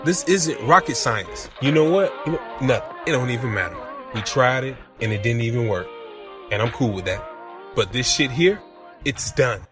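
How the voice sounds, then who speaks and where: An actor plays a jealous, lovesick man in a relationship audio drama. His talk is blunt, slangy and accusing, in an average male register. Music runs clearly behind him. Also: medium pitch, animated, normal speed, natural voice. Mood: bitter, fed up. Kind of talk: naturalistic audio drama dialogue. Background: clear background music.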